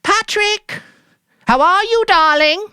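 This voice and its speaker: A narrator voicing a housewife who speaks in a bright, sing-song way with put-on cheer. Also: very high voice, takes it slowly, no echo, studio quality, pitched above the performer's own voice, heavily acted. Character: Mary Maloney, bright falsely cheerful housewife.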